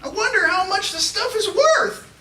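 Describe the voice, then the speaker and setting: A woman playing a naive, folksy, excitable comic sidekick in a live radio play. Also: extremely high pitch, normal speed, heavily acted. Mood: curious, amused. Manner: exclamatory, folksy. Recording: echoey, noisy stage from far off.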